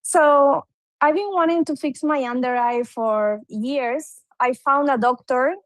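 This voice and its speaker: A woman's voice: high voice, slow pace, no room sound. A woman from Argentina with an Argentine Spanish accent, asking a psychic polite, anxious questions on a video call. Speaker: caller getting a reading.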